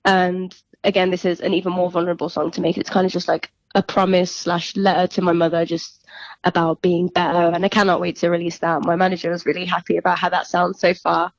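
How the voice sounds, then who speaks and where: A woman's voice: on the low side, little pitch movement, a little fast, dry recording. A young woman, a singer-songwriter, chatting casually and reflectively as a guest on a phone interview. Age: young adult. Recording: dull, lo-fi phone interview line.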